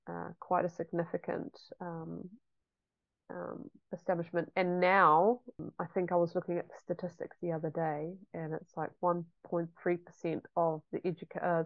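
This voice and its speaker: Chatty New woman, low and dry. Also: vocal fry, dry recording, highs cut off. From New Zealand. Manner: chatty and reflective, with frequent ums. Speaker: guest, homeschooling mother. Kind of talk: casual interview chat.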